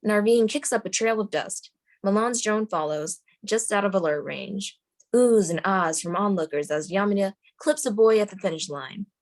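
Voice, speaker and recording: A woman's voice: mid-range voice, moderate pace. A woman narrator reading screenplay stage directions aloud at a table read.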